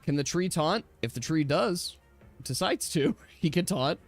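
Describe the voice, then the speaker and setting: A man's voice: on the high side, lively intonation, measured pace, soft background music. A man acting as game master of a casual tabletop role-playing game, chatty and explaining the rules as he goes.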